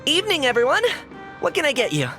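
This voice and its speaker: An actress voicing a friendly adult chef who greets customers in a breezy, welcoming way. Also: female voice, high-pitched, huge pitch swings, fast talker, pushed and bright, exaggerated voice. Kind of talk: video game voice acting. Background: game music.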